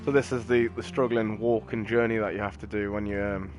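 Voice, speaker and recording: A man's voice: on the low side, fairly even intonation, fairly quick. A man giving casual gameplay commentary in a rambling, matter-of-fact, slangy way. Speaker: game streamer. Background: game music and sound effects.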